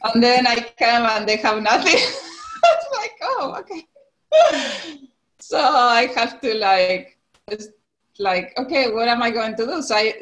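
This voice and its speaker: Woman, Spanish accent, forties, podcast guest, laughs a lot, restarts sentences, casual chat. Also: medium pitch. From Spain, Canary Islands. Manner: laughs often, restarts sentences, animated retellings. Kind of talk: casual interview conversation.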